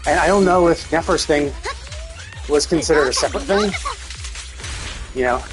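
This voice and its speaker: A man's voice: a little high, normal speed. A man streaming a game, giving casual, rambling commentary as he thinks aloud and swears freely. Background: game music.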